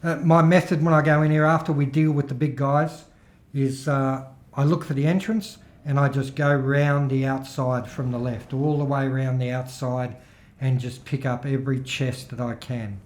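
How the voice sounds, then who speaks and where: A man's voice: mid-range voice, fairly even intonation, moderate pace, reverberant. Chatty middle-aged man. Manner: rambling, chatty, with filler-heavy restarts. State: throat still recovering, voice a little worn. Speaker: gaming video host. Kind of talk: casual gameplay commentary.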